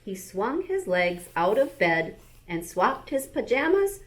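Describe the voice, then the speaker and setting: A woman, a school librarian, reading a bedtime story aloud to young children in an expressive, animated manner. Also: a little high, slow pace.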